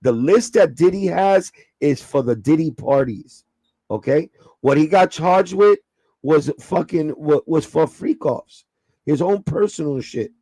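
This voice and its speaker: A man with a raspy voice, hosting a casual livestream and breaking things down step by step in a streetwise, profane way. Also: a little high, animated, unhurried, warm tone, dry recording, low-quality recording. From New York. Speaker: livestream host.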